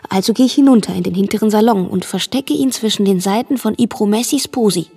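An actress playing a dutiful, watchful young woman who narrates a radio drama in a husky voice. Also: medium pitch, fast talker, mellow. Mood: anxious, confiding. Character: dutiful, watchful girl confiding what she sees. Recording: music behind the voice.

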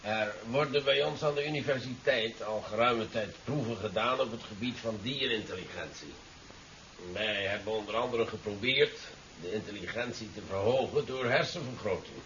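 Actor as a sharp-voiced, formal scientist. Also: male voice, mid-range voice, natural voice. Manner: formal, precise, lecturing. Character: male university scientist, formal, dry, quick to take offence. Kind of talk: 1970s radio drama acting. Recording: distant, dull, hissy old radio recording.

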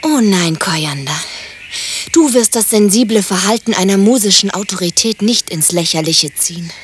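An actress plays a self-important woman of the arts in a radio drama. She sounds pompous and easily affronted at a middle pitch. There is slight noise behind her. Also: lively intonation, moderate pace, close to an everyday voice. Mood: haughty, indignant. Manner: pompous, indignant. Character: haughty, easily offended artistic woman musician. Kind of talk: radio play dialogue.

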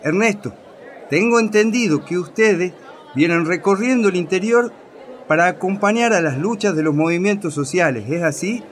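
An actor playing a courteous radio field reporter in a drama, speaking formally. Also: male voice, high-pitched, normal speed, some chatter behind, close to an everyday voice. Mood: formal, interested. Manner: formal, polite interviewer delivery. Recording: faint background noise.

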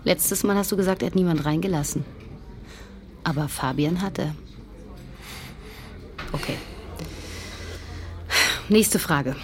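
A woman's voice: low voice, slow pace, long pauses, crowd noise. An actress playing a grieving, direct young woman who questions people in a naturalistic radio drama. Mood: searching.

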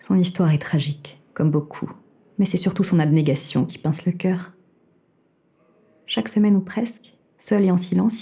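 A woman narrating an audio drama in a calm, measured way, also speaking as an artificial companion. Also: fairly low pitch.

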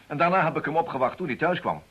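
Lively, wry private detective. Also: male voice, fairly high pitch, breathy edge. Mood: reporting. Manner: urbane, teasing, hesitant little pauses. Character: wry, self-assured male private detective. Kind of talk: Dutch radio play acting. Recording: muffled, faintly noisy old radio recording.

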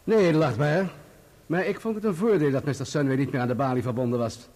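Man playing a guarded, husky-voiced journalist witness. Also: on the high side, fast, a little mellow, lightly acted. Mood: defensive. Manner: formal, guarded answers. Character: defensive male journalist testifying as a witness. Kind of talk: courtroom radio play acting.

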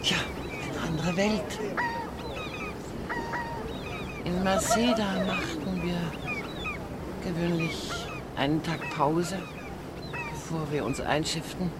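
An actress playing a worldly, wistful older lady in a German radio drama, speaking formally with a gently teasing manner. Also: on the low side, slow pace. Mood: wistful, reminiscing. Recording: clear background ambience noise.

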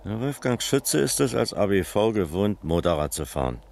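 An actor playing a composed, probing police captain, courteous and measured, in a German radio crime drama. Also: male voice, lowish voice, big pitch swings, vocal fry, darkish tone, a little hiss or hum.

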